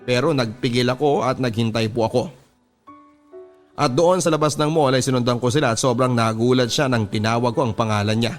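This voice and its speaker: A man's voice: medium pitch, fairly even intonation, a little fast. Filipino man narrating sentimentally over music. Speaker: radio drama host reading a listener's letter. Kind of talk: dramatic first-person letter narration. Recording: music bed behind the voice. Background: background music.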